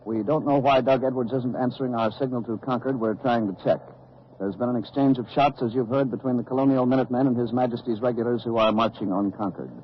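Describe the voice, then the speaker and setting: Man as formal 1940s radio anchor, composed, mid-pitched, quick delivery, muffled vintage sound. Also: steady tone, close to an everyday voice. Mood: composed, businesslike. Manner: measured, formal announcing. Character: composed male New York radio news anchor. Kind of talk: 1940s radio acting, formal news bulletin. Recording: dull old radio recording, faint noise.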